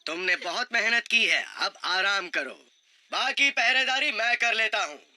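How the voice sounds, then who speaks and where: A calm wolf pack elder. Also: male voice, high-pitched, unhurried, a little hiss or hum, heavily acted. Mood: approving. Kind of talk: cartoon voice acting.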